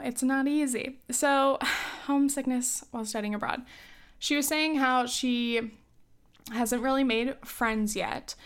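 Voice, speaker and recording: A young woman in her twenties giving casual advice in a chatty way, with many fillers and small laughs, a little hoarse from coughing. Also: medium pitch, fairly bright tone. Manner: chatty, lots of "like" and "um", laughs often. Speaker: advice host.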